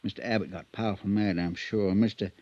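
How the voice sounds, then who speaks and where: A man's voice: lowish voice, fairly even intonation, a little fast, close to an everyday voice. A man playing a loyal, worried stable hand in a 1950s radio drama, speaking in a folksy, hesitant, respectful way. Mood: reflective. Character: deferential, plain-spoken male stable hand, loyal and worried. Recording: dull, muffled vintage radio recording.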